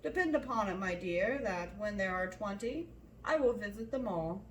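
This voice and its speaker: A woman's voice: mid-range voice, lively intonation, far from the mic, a little hiss or hum, natural voice. Woman reading as a wry, teasing father, roomy echo. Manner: measured, wry, mock-polite. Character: dry, sarcastic, teasing middle-aged father.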